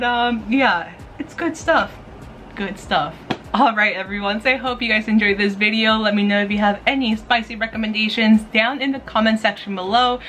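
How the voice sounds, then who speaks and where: A woman's voice: medium pitch, a bit bright, slight background noise. A woman talking casually and enthusiastically like a vlogger reviewing for her viewers, in a giggly, slangy, gushing fan manner. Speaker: YouTube host giving manga recommendations. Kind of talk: casual, chatty review to camera.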